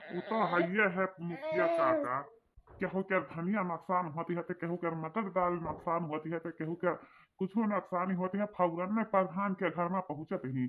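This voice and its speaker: A man's voice: high-pitched, normal speed, natural voice. A man playing an exasperated village headman in a comic cartoon, questioning and complaining with an eastern Uttar Pradesh accent. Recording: tinny, phone-like narrow audio.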